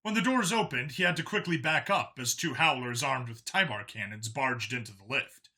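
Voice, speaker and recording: A man's voice: mid-range voice, normal speed, pushed and bright. A man reading science fiction as a dramatic scripted narration. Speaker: story narrator.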